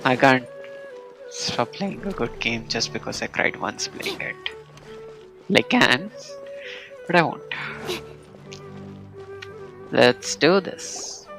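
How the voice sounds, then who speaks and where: A woman's voice: extremely low pitch, animated, slow pace, gravelly, long pauses, music in the background, poor audio. A woman live streaming a game with casual commentary, muttering short asides.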